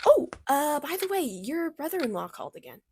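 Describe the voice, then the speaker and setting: A woman voicing a bubbly, caring female cartoon character in a radio play, chatty, motherly and expressive. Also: sits high, a little fast, slight background noise. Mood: awkward. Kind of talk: fictional radio theater acting.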